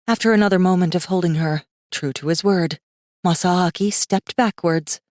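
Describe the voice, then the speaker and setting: Woman reading an audiobook, lowish voice, very animated, dry close sound. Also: moderate pace, dry recording. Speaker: audiobook narrator. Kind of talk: expressive scripted fiction narration.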